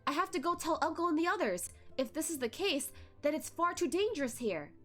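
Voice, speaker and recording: A woman voicing a hot-headed young male disciple who speaks urgently and loudly. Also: sits high, lively intonation, a little fast, soft background music, voice pushed up. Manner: urgent, raised.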